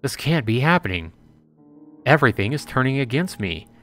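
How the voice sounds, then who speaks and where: A man voicing a bewildered, defensive young man in a visual novel, halting and earnest as he pleads. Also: mid-range voice, very animated, fairly bright tone, soft background music. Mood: upset, pleading.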